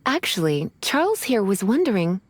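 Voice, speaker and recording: A woman's voice: medium pitch, sing-song, moderate pace, soft background music, close to an everyday voice. A woman voicing an elegant, languid mage with a sultry, teasing manner in an anime video game.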